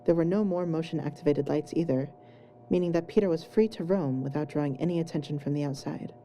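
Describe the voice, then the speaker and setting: A woman's voice: sits low, fast talker, mellow. A woman narrating a scripted fan fiction story as an audiobook-style reader. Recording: home recording with faint noise.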